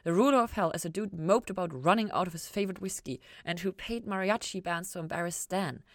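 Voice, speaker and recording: A woman's voice: lowish voice, fast talker, dry recording, clean studio sound. A woman reading a story aloud as scripted narration, in the manner of an audiobook. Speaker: podfic reader and podcast host.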